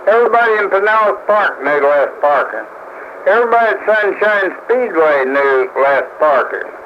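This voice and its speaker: Guy on cb radio needling and mocking somebody, kinda high voice, bit of noise, lofi. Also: lively intonation, moderate pace, highs cut off. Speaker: CB radio talker. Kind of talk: casual argumentative CB radio banter. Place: over CB radio, noise behind.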